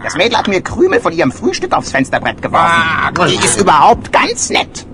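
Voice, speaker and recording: A squeaky little bird sidekick chatters proudly and drops chirps between phrases. The delivery is hugely animated, cartoonish children's radio play, with clear noise from an old record. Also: fairly quick. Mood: cheeky. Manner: chatty, breaks into chirps mid-sentence. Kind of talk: German children's audio play acting. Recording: noisy old vinyl audio play.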